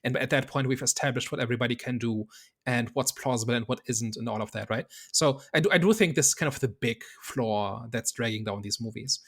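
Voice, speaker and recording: A man's voice: mid-range voice, a little fast. Male podcast host, casual analytical film talk, mid-range voice. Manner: analytical, thinks aloud with hedges. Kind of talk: casual movie review chat.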